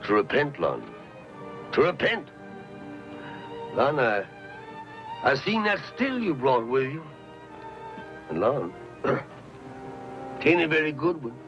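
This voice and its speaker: Folksy Southern frontier preacher, muffled soundtrack. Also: male voice, mid-range voice, very animated, takes it slowly, long pauses. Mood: earnest, admonishing. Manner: folksy, sermonizing, rustic speech. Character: folksy frontier preacher, earnest, pious, talkative soul-saver. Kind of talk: Western film acting. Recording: muffled old film soundtrack with music.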